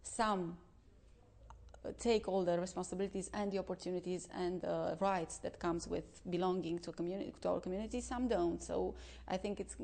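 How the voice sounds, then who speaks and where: A woman speaks deliberately, restarting phrases as she goes. Her voice is gravelly and mellow, pitched a little low. She gives an official-sounding view in an interview. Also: steady tone. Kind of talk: interview statement.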